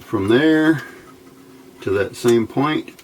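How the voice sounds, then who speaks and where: A man's voice: medium pitch, lively intonation, drawn-out words, a little mellow, a little hiss or hum. A man giving an informal hands-on electronics tutorial, thinking aloud as he works. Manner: unscripted, thinking aloud while working. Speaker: electronics hobbyist instructor.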